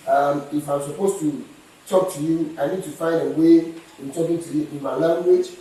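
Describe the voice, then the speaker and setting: A man's voice: on the high side, steady tone, moderate pace, dark tone. Distant man in echoey kitchen. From Ghana. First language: Ghanaian language. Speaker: cooking competitor. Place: rental apartment kitchen.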